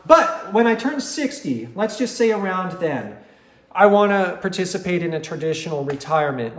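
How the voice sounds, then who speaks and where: A man in his forties with a high-pitched voice talks straight to his viewers as a channel host. He explains things emphatically, repeating words to drive them in. The room adds a clear echo around his voice. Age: 40s. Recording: roomy, echoing room sound.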